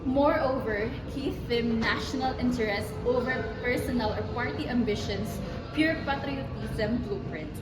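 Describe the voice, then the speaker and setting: Female Filipino student presenter, slightly high, scripted, reverberant with loud music underneath. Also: moderate pace.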